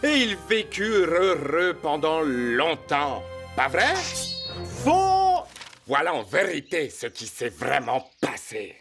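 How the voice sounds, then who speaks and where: Sly, unhurried cartoon cat storyteller. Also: male voice, a little high, huge pitch swings, fairly bright tone, soft background music, full character voice. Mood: sly, playful. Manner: playful, knowing, theatrical. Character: sly, boastful young male cat storyteller. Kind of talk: scripted cartoon narration.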